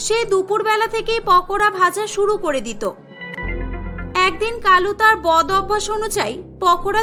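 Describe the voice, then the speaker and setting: A woman tells a cartoon story in a scripted narrator's style. Her voice is very high and bright.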